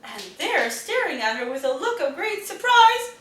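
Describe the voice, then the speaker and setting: A woman reading a picture book aloud to young children in an expressive, deliberate storytelling manner. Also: very high voice. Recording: roomy, distant mic, faint noise.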